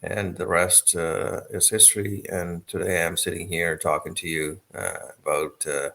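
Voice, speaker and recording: A man in his fifties, from Sri Lanka, recounting his life story as an interview guest, measured and often pausing with fillers, with a dry, self-deprecating touch. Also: deep voice, level tone, gravelly, pushed and bright. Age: 50s. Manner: measured, with frequent hesitations and self-deprecating humor.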